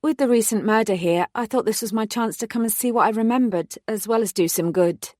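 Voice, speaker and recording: A woman's voice: fairly high pitch, brisk, darkish tone, no echo, clean studio sound, voice pushed up, natural voice. A woman voicing a careful, determined young woman police officer in a read-aloud story. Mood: guarded.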